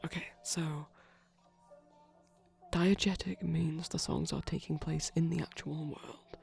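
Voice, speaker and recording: A person playing a shy, gently geeky boyfriend in soft, breathy ASMR, rambling and explaining over a movie and often dropping into a whisper, with a creaky edge. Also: medium pitch, steady tone, takes it slowly, faint music behind. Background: TV playing in the background.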